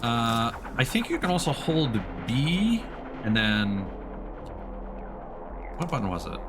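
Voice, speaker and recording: A man's voice: medium pitch, big pitch swings, slow pace. A man giving casual let's play commentary as a streamer, rambling playfully and thinking aloud. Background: game music.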